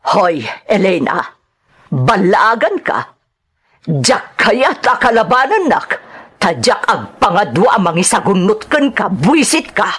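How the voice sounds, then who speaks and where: A woman's voice: medium pitch, sing-song, takes it slowly, a bit bright, husky, full character voice. A woman plays a middle-aged mother in a radio-style drama. She is domineering and impatient, with a harsh, scolding delivery that bosses whoever is listening. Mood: angry, scolding.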